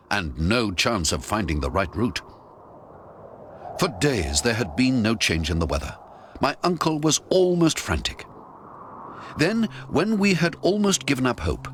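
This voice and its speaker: A man's voice: fairly low pitch, fairly bright tone, a little hiss or hum. A man narrating a story in the first person, in a dramatic, theatrical storytelling style. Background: music.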